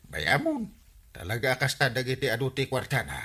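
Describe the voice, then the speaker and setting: A man's voice: medium pitch, huge pitch swings, brisk, sharp tone, exaggerated voice. A man acting a hesitant, put-upon Filipino villager in a comedy radio drama, peppering his talk with "eh". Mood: gossipy. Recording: clearly noisy radio recording.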